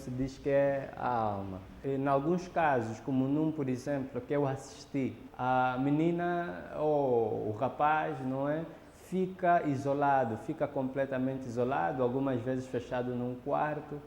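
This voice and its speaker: A man with an Angolan Portuguese accent explaining a ritual in a documentary interview, measured and full of fillers and restarts. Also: mid-range voice, darkish tone. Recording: reverberant room, faint background noise.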